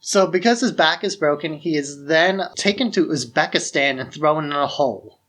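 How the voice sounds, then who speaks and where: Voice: mid-range voice, animated, moderate pace, fairly bright tone. A podcast host with a mid-range voice talks casually, walking the listener through a story. The delivery is conversational and explanatory, like recounting it to a friend. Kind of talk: casual film plot recap.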